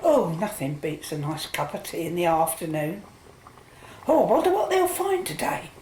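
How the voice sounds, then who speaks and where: A woman's voice: on the low side, huge pitch swings, moderate pace, mellow. A woman playing a grumpy, bigoted older housewife who scolds and mutters indignantly in a radio play. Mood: grumbling. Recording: roomy echo, faint noise.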